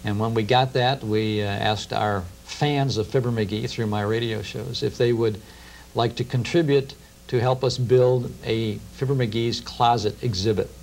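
Gravelly middle-aged American man, reminiscing. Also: medium pitch, steady tone, a little slow, a little mellow. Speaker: guest, radio show host. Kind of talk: conversational interview, reminiscing. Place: TV studio at a round table. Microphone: lapel. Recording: faint hum and hiss, old TV tape.

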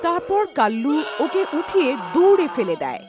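A woman's voice: extremely high pitch, big pitch swings, moderate pace, over music. A woman narrating a scripted cartoon story.

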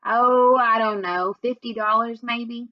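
A sad, folksy Southern woman from a children's book, as a woman reader puts her on. She sounds worried and wistful, with a highish voice. The sound is dry and a bit dull. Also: fairly even intonation, slow pace, dry recording. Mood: upset, dejected.

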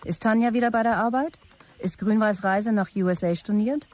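A woman's voice: medium pitch, normal speed, a little mellow, lightly acted. An actress playing a controlling, lovesick female tech-company executive in an audio drama, as if reading out her e-mails. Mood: brisk, businesslike. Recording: music behind the voice. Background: music.

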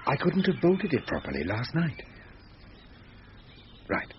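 Radio thriller husband: curt, sardonic, sceptical, extremely animated intonation, slightly muffled sound. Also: male voice, mid-range voice, unhurried. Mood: exasperated. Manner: curt, sarcastic. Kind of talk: thriller radio drama acting. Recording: dull, noisy radio drama recording.

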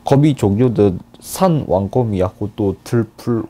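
A man's voice: lowish voice, animated, measured pace, music in the background. A man in his twenties, a ceramics student, explaining carefully and hesitantly. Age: 20s.

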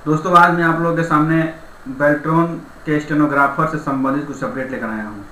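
A young man presents an informal update for his viewers. His voice is fairly high and bright, with a forceful edge. The room adds noticeable echo, and there is faint noise behind him. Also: steady tone, a little fast. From India. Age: young adult. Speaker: YouTube exam-update presenter.

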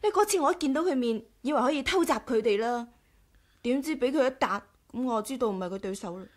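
A woman with a Hong Kong Cantonese accent playing a sharp, cautious adventuress in a 1980s radio drama. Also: fairly high pitch, animated, quick pace. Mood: wary.

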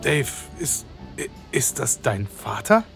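Ein Mann als stotternder, zimperlicher Kneipengast. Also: male voice, mid-range voice, sing-song, slow, creaky, a bit bright, full character voice. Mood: uneasy. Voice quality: stammering. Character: male bar patron, stammering and squeamish. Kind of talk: amateur horror audio drama. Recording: music behind the voice.

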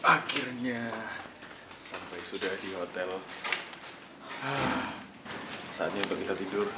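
A man's voice: deep voice, takes it slowly, very noisy. A young man with a Surabaya Javanese-tinged Indonesian accent, chatting casually into a camera held at arm's length as a travel vlogger. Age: young adult. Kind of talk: casual on-the-go chat. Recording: loud music and busy public background.

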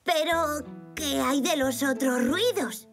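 A woman's voice: sits high, slow pace. A woman with a Castilian Spanish accent playing a curious, earnest five-year-old boy zebra in a children's cartoon. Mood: earnest, surprised. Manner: inquisitive, earnest questioning. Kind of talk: animated children's cartoon dub. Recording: music behind the voice.

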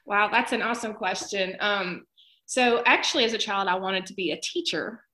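A woman's voice: medium pitch, moderate pace, pushed and bright, rough recording. A woman in her fifties chatting casually as a guest author in an interview.

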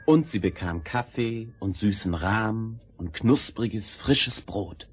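A man narrating a fairy tale in an expressive storytelling style. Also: on the low side, slow pace, breathy edge. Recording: old audio play with music.

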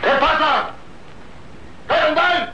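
A man playing a brutish, lecherous rural bandit with a gravelly voice and a Mexican accent in a radio soap opera. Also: extremely high pitch, unhurried, sharp tone, full character voice. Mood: menacing. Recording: dull, noisy old radio serial recording.

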